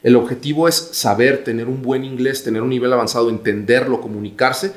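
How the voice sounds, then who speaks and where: A man in his forties with a Mexican accent, giving career advice as an interview guest in a measured, explanatory way. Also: medium pitch, steady tone, roomy sound. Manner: measured, explanatory, repeats phrases for emphasis.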